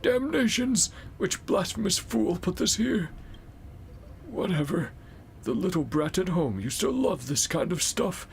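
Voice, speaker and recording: A pompous, grumpy old guardian, swooping theatrically. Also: male voice, high-pitched, very animated, unhurried, creaky, a little hiss or hum, full character voice. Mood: grumbling. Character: grumpy, prudish old guardian scolding scandalous books.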